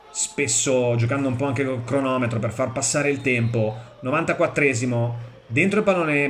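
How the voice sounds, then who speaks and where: A man commentating football highlights, describing each play analytically in short clipped phrases. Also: a bit bright, slight background noise.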